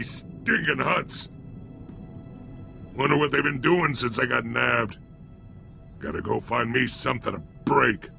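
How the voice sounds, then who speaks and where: An actor playing a brutish, bloodthirsty alien gangster in a video game, with a rough voice and crude, sneering street-thug talk. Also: male voice, mid-range voice, pushed and bright, exaggerated voice. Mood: boastful, nostalgic. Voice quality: rough, gravelly. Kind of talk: video game character acting.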